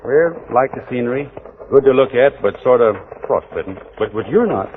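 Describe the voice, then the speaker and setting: An actor playing a courtly, stubborn Texas Ranger with a folksy, hesitant Texan way of talking, in 1940s radio drama style. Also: male voice, mid-range voice, lively intonation, normal speed, close to an everyday voice. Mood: courting. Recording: noisy, tinny 1940s radio recording.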